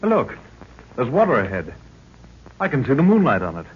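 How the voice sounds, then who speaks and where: An actor playing an excitable, deferential younger man, urgent and impatient, in a 1930s radio adventure drama. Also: mid-range voice, normal speed, husky. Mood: tense. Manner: excitable, urgent, calls his leader sir. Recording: scratchy, muffled 1930s radio recording.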